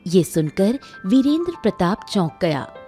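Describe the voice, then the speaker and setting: Female cartoon narrator: unhurried, highly varied intonation, reading a scripted story. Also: sing-song, mellow, soft background music.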